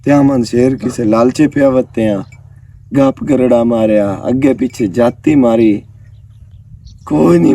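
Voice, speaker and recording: A man's voice: medium pitch, dark tone. A man with a Pakistani Punjabi accent playing a lonely, lovestruck rural bachelor in a comedy skit, chatty, eager and effusive with blessings. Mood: glum, self-pitying. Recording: roomy echo, distant microphone.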